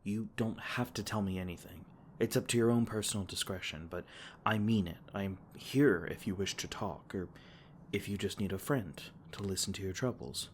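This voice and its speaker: A man voicing a gentle fae king, low-voiced. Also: normal speed, a little hiss or hum, natural voice. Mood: tender, soothing. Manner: soft-spoken and tender, with stammers. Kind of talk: ASMR comfort roleplay.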